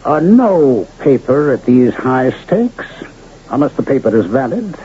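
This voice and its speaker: A courtly, sardonic frontier doctor, with a mellow voice and very expressive pitch. He speaks with measured politeness on a muffled old radio drama recording. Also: husky. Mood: cool, polite. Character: wry, courtly Western doctor and card player.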